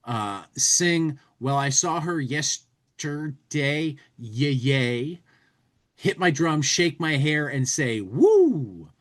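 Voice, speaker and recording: A man's voice: fairly high pitch, big pitch swings, drawn-out words. A man co-hosting a podcast, talking casually and reading humor material aloud, often repeating words as he goes. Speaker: podcast co-host. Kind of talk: casual commentary with dramatic reading from a humor magazine.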